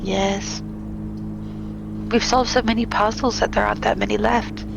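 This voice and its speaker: Giggly woman with a gravelly voice. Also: mid-range voice, flat delivery, unhurried, background noise. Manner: giggly, playful and chatty. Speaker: co-streamer. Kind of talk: casual chat.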